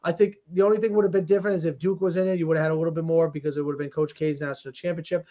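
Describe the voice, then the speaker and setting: A man's voice: on the high side, flat delivery, racing, dark tone. A man co-hosting a sports podcast, giving casual, emphatic commentary with exclamations and repeats for emphasis, in dull lo-fi audio.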